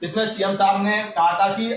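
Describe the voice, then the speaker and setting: A man's voice: sits high, steady tone, normal speed. A man with a North Indian accent gives an informal explanatory update to students, repeating his points and addressing listeners directly. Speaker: YouTube exam news presenter. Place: reverberant indoor room. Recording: very echoey room, distant mic, faint noise.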